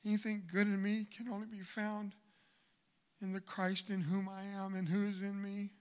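An older man preaching a sermon in church, swinging from loud exhortation to hushed asides. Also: sits high, monotone, normal speed, clear, resonant tone. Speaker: guest preacher. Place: church sanctuary.

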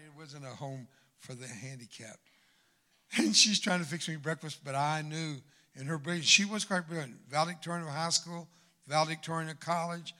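Older guy preaching in church, bright forceful voice, bit high, goes loud then goes real quiet. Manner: dramatic swings between loud exhortation and hushed asides. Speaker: guest preacher. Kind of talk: sermon. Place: church sanctuary.

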